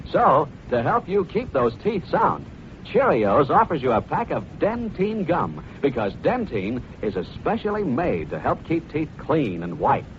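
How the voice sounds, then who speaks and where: A man announcing an old radio commercial to children, enthusiastic and emphatic. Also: a little high. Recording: muffled, noisy old radio recording.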